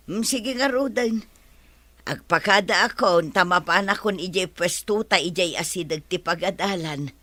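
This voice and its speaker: Husky, low-voiced village mother. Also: moderate pace, sharp tone, dry recording. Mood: practical. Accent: Ilocano Filipino. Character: concerned, practical village mother who sells goods. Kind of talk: Ilocano radio comedy drama acting.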